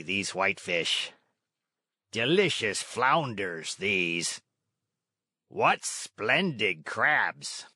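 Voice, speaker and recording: A man acts out an ugly green monster of a fisherman from a children's storybook. He is greedy and pompously polite, lingering slowly over his words in a dry, close recording. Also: lowish voice, bright, forceful tone, no room sound, exaggerated voice. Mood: gloating, savoring. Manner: slow, savoring, pompous. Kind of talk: children's storybook read-aloud.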